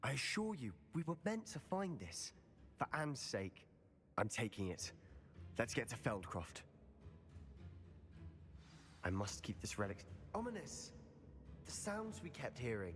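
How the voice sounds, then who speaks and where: A man's voice: medium pitch, wildly swooping pitch, a little slow, pauses often, fairly bright tone. A young man playing a determined, stubborn teenage schoolboy wizard in a video game, earnest and insistent. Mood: defiant. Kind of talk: dramatic video game voice acting. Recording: long reverb, music underneath.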